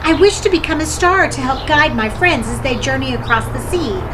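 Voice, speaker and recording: A woman's voice: high-pitched, noisy background. A woman voicing an anxious, earnest young island girl in a storybook reading, pleading and devoted to her friends.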